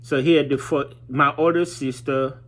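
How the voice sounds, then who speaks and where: A man speaks in a medium-pitched voice. He tells his own story informally, in a halting, reflective way, often pausing to search for the right word. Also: fairly even intonation, fairly bright tone. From Haiti. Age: 30s. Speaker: vlogger telling his childhood story.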